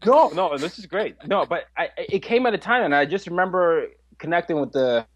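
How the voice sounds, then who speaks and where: A man in his twenties, a podcast guest, talking in an animated way, laughing often and restarting his sentences. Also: sits high, fairly quick, dull audio. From Nigeria. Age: 20s. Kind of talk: casual interview chat.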